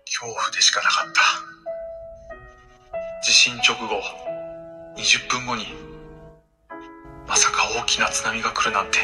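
Low, sombre, haunted survivor played by a man. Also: level tone, measured pace, long pauses, recorded from a distance, music in the background, close to an everyday voice. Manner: sombre, narrating a memory. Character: haunted male disaster survivor recounting his fear. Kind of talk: Japanese audio drama acting.

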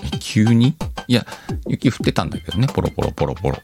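A man's voice: fairly low pitch, very animated, quick pace, creaky, darkish tone. A man hosting a casual solo chat show, rambling and self-deprecating, often laughing at himself, with music behind him. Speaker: host of an audio show. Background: background music.

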